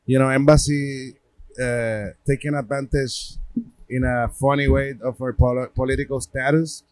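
Puerto Rican man, halting casual storyteller. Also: medium pitch, level tone, a little mellow, no echo. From Puerto Rico. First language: Spanish. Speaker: gallerist guest.

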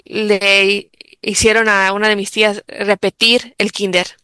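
Bright, crisp-voiced bilingual woman guest. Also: medium pitch, little pitch movement, measured pace, no room sound. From United States. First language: English. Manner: reflective, many fillers and restarts. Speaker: interview guest, bilingual mother. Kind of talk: interview answers.

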